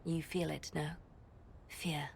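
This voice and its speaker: An actress voicing a solemn, compassionate religious leader in a fantasy video game, measured, formal and reflective. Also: female voice, low voice, fairly even intonation, gravelly, a little hiss or hum, full character voice. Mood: solemn, concerned. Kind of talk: video game cutscene acting.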